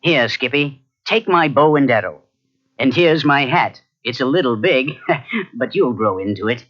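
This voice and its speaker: A man's voice: medium pitch, expressive, a little slow, a bit bright. A man playing a cheerful, confident outlaw hero in a children's storybook, with a friendly manner. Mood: kindly. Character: cheerful, daring young male outlaw hero. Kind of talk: children's cartoon storybook acting. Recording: dull cassette, faint music behind.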